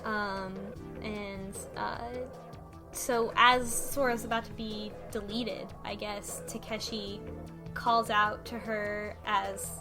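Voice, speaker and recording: A woman's voice: medium pitch, level tone, slow, over music. Chatty woman recapping a story. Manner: thoughtful, recaps plot with many fillers. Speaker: podcast co-host. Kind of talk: casual discussion of a visual novel.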